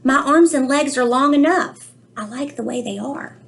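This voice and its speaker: A woman voicing an anxious, literal-minded little boy in a singsong, expressive storybook reading. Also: high-pitched, normal speed, creaky, pitched above the performer's own voice. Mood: fretful, indignant. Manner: worried, singsong rhyming delivery.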